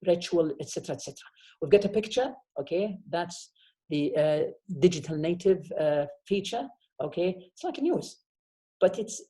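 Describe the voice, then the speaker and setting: A man's voice: sits high, mellow. A man lecturing online, explaining step by step and checking in with "okay?" after nearly every phrase. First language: Arabic. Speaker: university professor. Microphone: video call.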